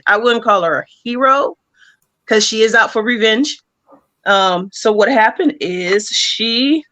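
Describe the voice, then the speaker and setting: A woman speaking as a comic creator and interview guest, explaining things conversationally in measured phrases with pauses. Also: medium pitch, a bit bright.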